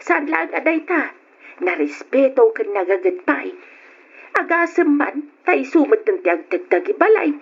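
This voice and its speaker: A woman's voice: high-pitched, sing-song, a little slow, gravelly, heavily acted. A woman playing a chatty middle-aged mother in an old Ilocano radio drama, with an Ilocano accent. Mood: admiring. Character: doting middle-aged mother, admiring, grumbles about husband. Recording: dull lo-fi radio recording.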